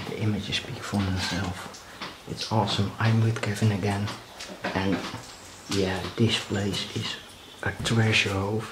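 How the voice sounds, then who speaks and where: A young man exploring a dark abandoned house, talking quietly and haltingly into his handheld camera as he shows the place. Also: lowish voice, heavy background noise. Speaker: urban explorer vlogger. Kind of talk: hushed walkthrough narration.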